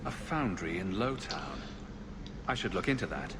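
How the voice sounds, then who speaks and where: A man's voice: low-pitched, very animated, normal speed, reverberant, noisy background. A man playing a confident, direct adventurer hero in a fantasy video game. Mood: concerned. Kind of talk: video game dialogue acting.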